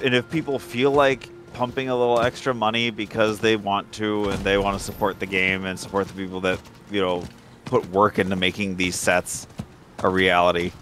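A man's voice: on the low side, a bit bright. A man playing co-op games and chatting casually as he plays. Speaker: co-op gamer. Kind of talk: casual chat while gaming. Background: faint game music.

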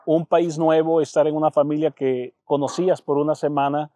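A man's voice: on the high side, steady tone, moderate pace, dark tone, no room sound. A male Spanish-language baseball broadcaster conducting an interview, asking conversational follow-up questions.

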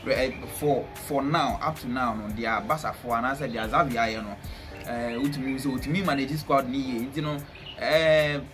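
A young man with a Ghanaian accent discusses football casually as a pundit. His speech is halting, with words often repeated, and his pitch sits in the middle range. Music plays clearly behind him. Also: steady tone. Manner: halting, repetitive. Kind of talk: casual sports punditry, mixing English with a local language. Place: small bare indoor room, seated at a table.

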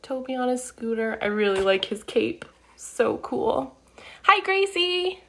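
Woman in her thirties, picture-book read-aloud, lively swooping intonation, unhurried pace, child-directed asides. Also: sits high. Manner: animated, slow and deliberate, sing-song child-directed delivery. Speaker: children's storytime reader. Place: living room at home, indoors. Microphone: phone or webcam at arm's length.

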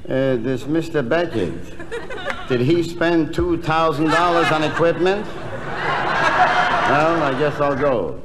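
An actor playing an anxious, thrifty, grumbling everyman in a comedy record sketch, with a deliberate delivery. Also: on the high side. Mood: skeptical. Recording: noisy, reverberant old comedy record before audience.

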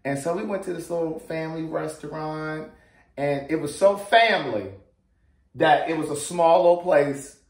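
A man's voice: a little high, moderate pace, reverberant. An American man in his forties telling a story casually and dramatically, exuberant and often laughing as he talks. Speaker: vlogger telling stories. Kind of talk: animated casual storytelling among family. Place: open dining room at home.

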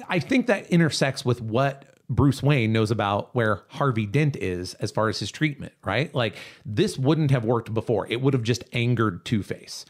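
A male podcast host with a widely swinging, animated intonation. He talks casually but analytically, leaning on repeated words for emphasis, at a middling pitch. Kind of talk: casual pop-culture discussion.

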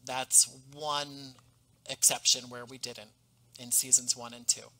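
A man in his thirties, a guest talking about his audio drama in an animated, enthusiastic way over a headset on a video call from home. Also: medium pitch, slow pace, rough recording. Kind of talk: casual interview. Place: home room, indoors.

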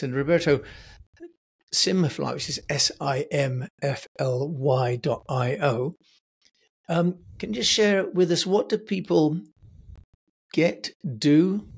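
A man's voice: fairly high pitch, slow, breathy edge. A man in his sixties hosting an interview over a video call from his home office, with a friendly manner that stretches key words for emphasis. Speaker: podcast host. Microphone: headset and boom mic.

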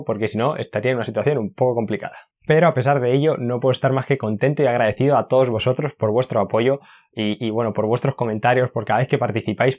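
A young man from Spain recaps his cycling year straight to camera. He sounds chatty and upbeat, gesturing as he talks, with a middle-pitched voice. He is recorded close on a lapel mic indoors. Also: a little mellow. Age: young adult. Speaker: cycling YouTuber. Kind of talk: year-in-review talking to camera. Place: indoors in front of a stone-wall backdrop. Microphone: clip-on lapel mic.

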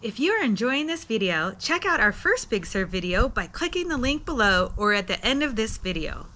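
A middle-aged woman reading scripted travel narration in a lively, inviting guide manner, as a voice-over. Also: fairly high pitch, normal speed. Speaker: travel vlog co-host. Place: voice-over, not recorded on location.

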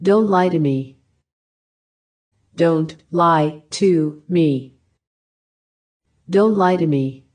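A woman reading short English phrases for a language lesson, each one repeated with careful, even enunciation. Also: fairly low pitch, extremely slow, pauses often. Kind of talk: slow repeated phrase drills.

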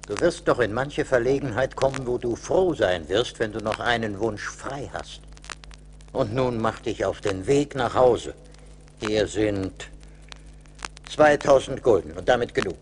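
Casting: stern little woodland spirit, slow deliberate admonisher, vintage radio play with light noise. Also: male voice, lowish voice, lively intonation. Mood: stern counsel. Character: stern little old glass-forest spirit, scolding, wise. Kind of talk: 1980s German radio play acting.

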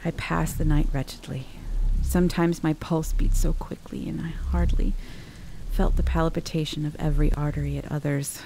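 A woman narrating a gothic audiobook dramatically, in a brooding manner with hushed, near-whispered emphasis. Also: sits low, normal speed, gravelly, rough recording. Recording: steady rain-like background noise.

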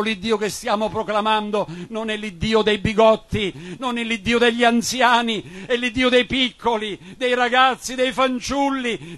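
A man's voice: high voice, a bit bright. A man delivering an evangelical sermon and prayer as a preacher, in an emphatic, declamatory manner with repeated exhortations.

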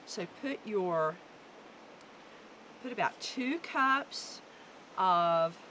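A woman's voice: medium pitch, lively intonation, extremely slow, bright, forceful tone, low-quality recording. A woman in her fifties from the American South, giving an upbeat, instructive cooking demonstration on an outdoor deck. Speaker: guest cook. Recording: outdoor background noise.

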